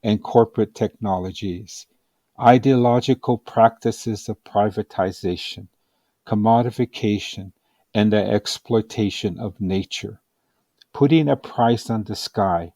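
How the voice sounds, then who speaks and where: A man reading an advocacy audiobook as scripted narration, with measured, deliberate and solemn phrasing. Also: fairly low pitch, dark tone, no echo. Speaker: audiobook reader.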